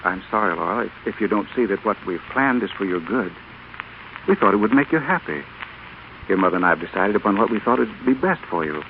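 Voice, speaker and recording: A man's voice: lowish voice, animated, fast talker. An actor playing a dignified, well-bred middle-aged father, patient and formal, in an old radio drama. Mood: gentle, regretful. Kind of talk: 1930s-40s radio drama acting. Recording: tinny, noisy old radio recording.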